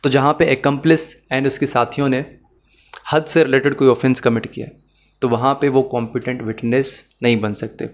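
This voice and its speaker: A man with a Pakistani accent lecturing as a law teacher, explaining methodically, rephrasing key points and mixing in English terms. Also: medium pitch.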